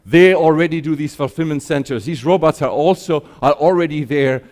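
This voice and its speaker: A man's voice: fairly high pitch, brisk, darkish tone. A middle-aged man from Switzerland gives an informal keynote talk in a conversational, wry, self-deprecating manner, often posing rhetorical questions. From Geneva, Switzerland. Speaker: conference keynote speaker. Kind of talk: informal keynote presentation on stage. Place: conference stage, addressing an audience.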